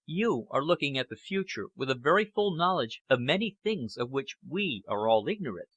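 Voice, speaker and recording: A man plays a measured aristocratic gentleman in an audiobook novel, earnest and careful. His formal speech is clear-edged and bright, with lively intonation. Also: medium pitch, no room sound, muffled recording. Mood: measured, diplomatic. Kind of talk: audiobook character acting.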